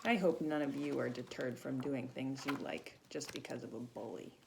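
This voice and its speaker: A woman reading a children's story aloud expressively, pausing to chat with young listeners. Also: rumbling low, vocal fry, noisy background. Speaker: volunteer storybook reader for children.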